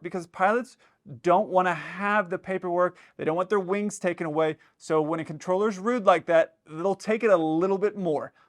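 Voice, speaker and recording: A man who is a pilot and host explains things in casual commentary, conversationally acting out imagined dialogue as he goes. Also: high-pitched.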